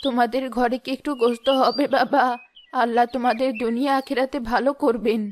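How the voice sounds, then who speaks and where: Elderly cartoon beggar woman, imploring and plaintive, fairly high voice, played by an actress. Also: fairly even intonation, a little fast, slight background noise, close to an everyday voice. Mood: pleading. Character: poor old beggar grandmother, pleading and grateful. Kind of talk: cartoon moral drama dialogue.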